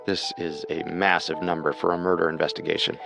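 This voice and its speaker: A man narrates true crime as a co-host, reading from a script with dramatic phrasing. His voice sits low, and music plays clearly underneath. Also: little pitch movement, fairly quick.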